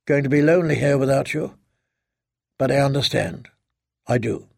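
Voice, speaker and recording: A man's voice: medium pitch, moderate pace, creaky, a little mellow, husky, no room sound, clean studio sound. A man playing a protective, worried father in an audiobook, earnest and tender with his grown daughter. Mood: resigned, tender. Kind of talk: dramatic audiobook character reading.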